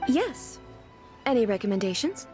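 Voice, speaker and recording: Regal female ruler, highish voice, animated melody, literal-minded, curious, formal, video game dialogue. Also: normal speed. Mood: composed, curious. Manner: composed, formal, inquisitive. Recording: background music.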